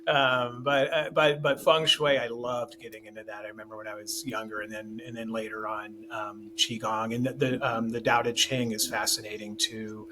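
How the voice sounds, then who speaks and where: A man's voice: fairly low pitch, little pitch movement, normal speed, hardly any pauses, background noise. A man talking about spiritual ideas as a podcast guest, rambling with many restarts and fillers. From Taiwan. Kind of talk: casual conversation.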